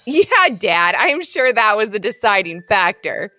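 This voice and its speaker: A woman's voice: medium pitch, big pitch swings, measured pace, pushed and bright, soft background music. A woman voicing a cheerful, confident young boy in a children's story, with an exclaiming manner. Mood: teasing.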